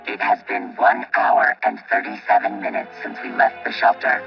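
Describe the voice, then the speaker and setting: A man's voice: normal speed, muffled recording, faint music behind. A man playing a stiff, protective combat robot in an audio drama, speaking formally and literally through a robotic filter. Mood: matter-of-fact. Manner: formal, clipped, literal phrasing.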